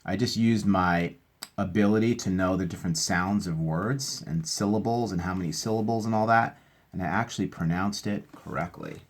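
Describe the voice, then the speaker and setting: A man hosting a read-aloud for children, speaking in chatty, expressive asides straight to his young listeners. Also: deep voice, normal speed.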